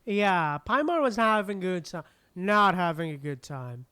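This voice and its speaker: A woman's voice: fairly low pitch, animated, moderate pace, bright, forceful tone, clean tone, no room sound. A woman live-streaming a game, reacting and chatting casually with interjections. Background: game music.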